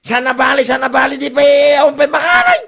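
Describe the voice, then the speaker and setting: A man's voice: very high-pitched, huge pitch swings, fast talker, gravelly, bright, forceful tone, exaggerated voice. A man with an Ilocano accent playing an exasperated husband who scolds and complains at his spendthrift wife in a comedy drama. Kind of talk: comedy radio drama acting. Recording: music behind the voice.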